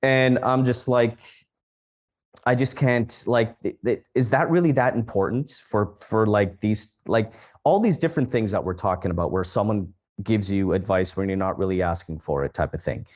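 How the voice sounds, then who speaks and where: Frank, outspoken podcast man, low voice. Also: normal speed, a little mellow. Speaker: podcast co-host. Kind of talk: casual chat.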